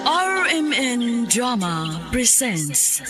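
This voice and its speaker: Loud music surrounds a Cebuano Filipino woman announcing a radio drama. She reads her script very slowly in a slightly high voice. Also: big pitch swings.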